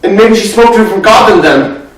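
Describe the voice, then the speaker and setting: A man's voice: mid-range voice, normal speed, natural voice. Young man acting grieving anxious boy, very echoey room. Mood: agitated, upset. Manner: earnest, intense, breathless run-on telling that swells from hushed to loud. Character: grieving, anxious, talkative young boy searching New York. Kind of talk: dramatic monologue at a speech competition. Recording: very echoey room, far from the mic.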